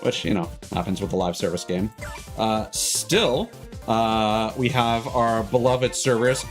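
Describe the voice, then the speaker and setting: A man's voice: lowish voice, unhurried. Rambling male streamer over background music. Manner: self-deprecating, rambling, sweary. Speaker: live streamer. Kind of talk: casual chat, game stream commentary. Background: cartoonish upbeat game music.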